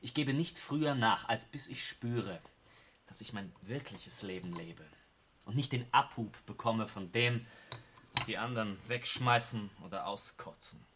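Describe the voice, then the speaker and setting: An actor playing an embittered, restless war veteran, emphatic and formal, in a radio play. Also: male voice, fairly low pitch, unhurried, fairly bright tone, a little hiss or hum. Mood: bitter, impassioned. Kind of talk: German radio play acting.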